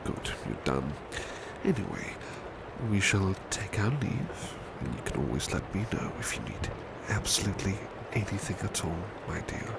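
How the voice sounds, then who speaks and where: Man playing a very deep, hushed butler. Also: sing-song, gravelly, heavily acted. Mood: courteous, devoted. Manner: hushed, slow, formal, gently solicitous. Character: devoted, competitive, proud male butler. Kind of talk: soft-spoken ASMR roleplay with poetry reading. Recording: strong background ambience noise.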